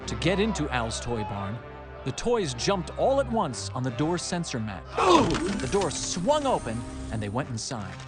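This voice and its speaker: Animated male storybook narrator, fairly high. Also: unhurried. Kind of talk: scripted children's read-along narration. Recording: music behind the narration.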